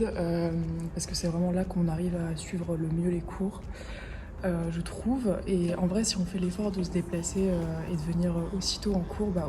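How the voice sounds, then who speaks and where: A woman's voice: fairly low pitch, noisy background. A woman in her twenties vlogging her week as a finance student, chatting casually with many fillers. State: stuffy with a cold. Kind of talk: casual vlog narration.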